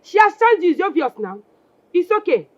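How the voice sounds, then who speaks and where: High-voiced, scolding Nigerian wife. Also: female voice, big pitch swings, brisk, dry recording, dull audio. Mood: indignant. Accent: Nigerian Igbo-English. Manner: scolding, repeats phrases insistently. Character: quarrelsome, spiteful wife and bullying village woman. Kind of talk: Nollywood film drama acting.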